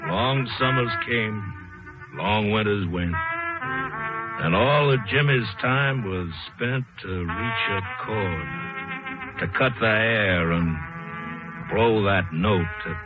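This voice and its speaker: An actor narrating as a hard-boiled, romantic jazz-age balladeer, reciting rhyming slang verse rhythmically in an old radio drama. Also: male voice, on the low side, slow. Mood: dramatic, wistful. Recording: muffled vintage radio, loud music behind.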